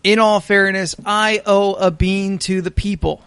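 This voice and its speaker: A man with a high, creaky voice hosts a casual comedy podcast. He chats loosely and jokingly, and the sound is dry and close. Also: level tone, a little slow, a bit bright, no echo. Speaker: podcast host.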